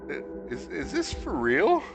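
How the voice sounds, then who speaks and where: A hoarse man streaming a horror visual novel, reading the dialogue aloud and adding sarcastic comments, sometimes jumping into high-pitched disbelief. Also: huge pitch swings, unhurried. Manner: casual, sarcastic commentary with sudden high-pitched incredulous outbursts. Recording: music playing behind the voice.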